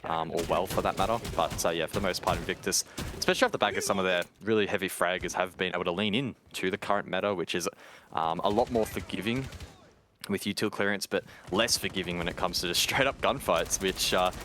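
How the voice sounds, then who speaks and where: A man giving analytical colour commentary on a live esports match in a measured, wordy way. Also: sits low, bright, forceful tone, a little hiss or hum.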